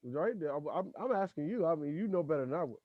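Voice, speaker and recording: A man's voice: a little high, expressive, racing, phone-quality sound. A man hosting a podcast in loose, profane casual chat, talking in restarts. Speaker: podcast host.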